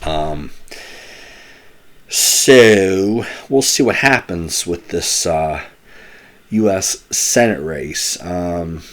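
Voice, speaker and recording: A man giving opinionated, casual political commentary, slightly hoarse, often restarting his sentences. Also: deep voice. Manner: animated, opinionated, with frequent restarts.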